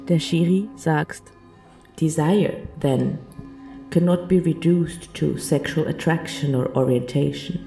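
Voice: medium pitch, vocal fry, warm tone. A narrator reading a literary first-person audio play in an intimate, matter-of-fact way. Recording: music behind the voice. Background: music.

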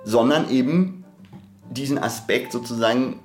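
A man's voice: medium pitch, a little slow, darkish tone, soft background music. A man talking reflectively and theoretically as a podcast host, hesitant and digressive as he searches for words.

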